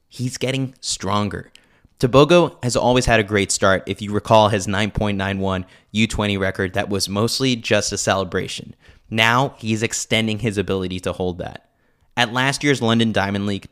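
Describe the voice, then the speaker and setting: A man's voice: fairly low pitch, fairly bright tone. A man reading a scripted opinion newsletter aloud as a podcast host. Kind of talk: scripted narration, opinion commentary.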